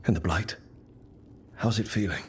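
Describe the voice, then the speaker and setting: Man as a low-voiced, sincere hero leader. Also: normal speed, a little mellow, slight background noise, natural voice. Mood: gentle concern. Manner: sincere, understated. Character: steady, compassionate rebel leader hero, sincere and resolute. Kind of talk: dramatic video game acting.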